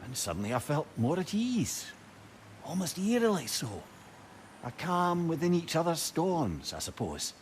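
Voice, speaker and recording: A man's voice: on the high side, sing-song, measured pace. An actor playing a witty, wistful old male advisor with a Scottish accent, telling stories lyrically and wryly in a video game. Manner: lyrical, wry, conversational. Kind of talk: storytelling video game dialogue. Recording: ambient noise behind voice.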